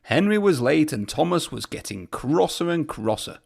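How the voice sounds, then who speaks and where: A man's voice: on the high side, sing-song, normal speed, vocal fry, a little mellow. A man narrating a children's storybook aloud as a storyteller.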